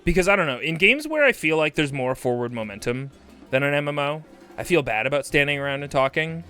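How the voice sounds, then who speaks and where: Animated man chatting on a game livestream. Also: a little high, fairly quick. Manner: animated, exclamatory, chatty with sweary asides. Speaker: livestream host. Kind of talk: casual game livestream chat.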